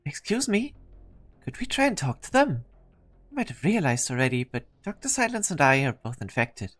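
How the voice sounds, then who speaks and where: A woman's voice: low-pitched, very animated, moderate pace, faint music behind. A woman voicing a polite, timid young male engineering intern in an amateur game fan dub, hesitant and courteous. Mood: hesitant, startled.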